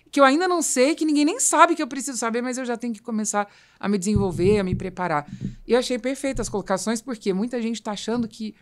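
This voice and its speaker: A Brazilian woman hosting a podcast, talking conversationally about business and explaining with anecdotes and emphatic repetition. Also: on the high side. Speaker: podcast host.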